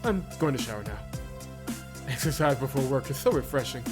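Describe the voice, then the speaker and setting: A man's voice: medium pitch, animated, fairly quick, natural voice. A man voicing a flustered, eager young female employee in a visual novel, nervous, stammering and deferential. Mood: flustered, covering up. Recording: loud game music behind voice.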